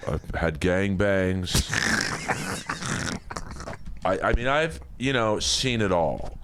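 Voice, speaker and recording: A man's voice: low voice, animated, drawn-out words, background noise. A man, a comedian guesting on a podcast, telling bawdy stories in a rambling, casual way and acting out lines from old TV jokes.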